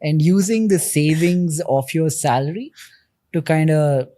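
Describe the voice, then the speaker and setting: Indian guy on a podcast, mellow dry voice, calm and explaining, lots of uhs. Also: fairly high pitch, no room sound. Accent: Indian English. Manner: measured, explanatory, frequent "uh" fillers. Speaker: guest. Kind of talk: podcast interview.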